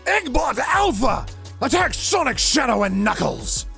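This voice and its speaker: A man's voice: very high voice, big pitch swings, unhurried, gravelly, sharp tone, faint music behind, exaggerated voice. A man voicing a gloating, scheming mad scientist with a smug, theatrical manner in a fan audio drama.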